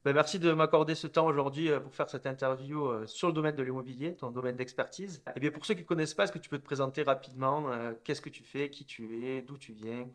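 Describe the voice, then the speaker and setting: A young man hosting an interview, talking quickly at a middle pitch. He fills his questions with hesitations but keeps them moving. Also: steady tone. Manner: brisk, many filler hesitations. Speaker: interviewer, host. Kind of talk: interview host.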